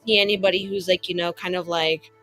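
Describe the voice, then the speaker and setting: A woman's voice: lowish voice, steady tone, fast, fairly bright tone. Woman, tabletop player, casual improvised chat, rambling delivery, faint music behind. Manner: rambling, peppered with "like". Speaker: player in a tabletop roleplaying game.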